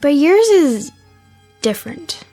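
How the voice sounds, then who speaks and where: An actress in a radio drama playing an earnest, caring teenage daughter who questions anxiously. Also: female voice, sits high, very animated, slow pace, mellow, dry recording, faint music behind, exaggerated voice. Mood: concerned. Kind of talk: naturalistic radio drama acting.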